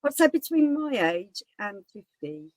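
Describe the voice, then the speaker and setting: A woman's voice: mid-range voice, big pitch swings, a little slow, darkish tone, no echo. A woman in her forties chatting casually as a guest on a video call. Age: 40s. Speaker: guest on a chat show. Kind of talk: casual chat. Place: living room, indoors. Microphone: video call, sitting back from the camera.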